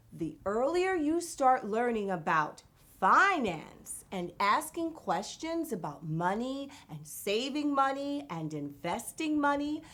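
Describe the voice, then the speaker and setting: A woman hosting a children's read-aloud, narrating in an expressive, deliberate way as she addresses young listeners. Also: fairly high pitch. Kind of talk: expressive children's storybook reading.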